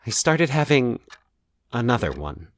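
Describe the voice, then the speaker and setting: A man acting a troubled, anxious man in a fiction podcast, confiding his nightmares haltingly and with dramatic pauses. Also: medium pitch, sing-song, no echo, studio quality. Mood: haunted, halting. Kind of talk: dramatic audio fiction monologue.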